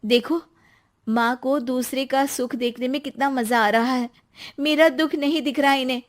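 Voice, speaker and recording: A woman voicing an emotional young wife in a cartoon story, speaking in a pleading way that takes offense quickly. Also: high-pitched, fairly even intonation, pitched above the performer's own voice, close to an everyday voice. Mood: worried.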